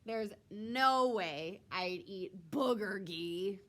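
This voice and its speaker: A woman's voice: mid-range voice, very slow, fairly bright tone. A woman reading a children's picture book, voicing a brash, dismissive schoolkid. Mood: scornful.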